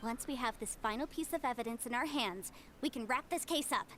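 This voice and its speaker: A woman's voice: on the high side, fairly quick, fairly bright tone, slight background noise, lightly acted. A woman playing a confident young legal adviser in a video game, formal, articulate and polite. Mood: brisk, assured. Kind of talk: scripted game dialogue.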